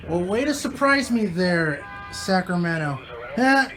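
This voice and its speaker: Slow-paced, high-voiced man chatting casually. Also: lively intonation, a bit bright, faint music behind, poor audio. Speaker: host of a hobby radio-test video. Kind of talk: casual chat.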